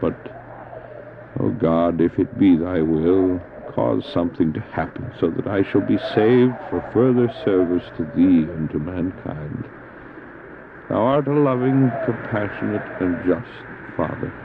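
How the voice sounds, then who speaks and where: A man's voice: sits low, creaky, background noise. Man acting a devout stranded missionary, tinny cassette drama. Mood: solemn prayer. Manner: solemn, reverent, earnest, deliberate dramatic phrasing. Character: devout male missionary stranded on drifting ice, recounting his ordeal. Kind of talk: audio drama acting with narrated recollection and prayer. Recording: muffled phone-like old cassette drama, music.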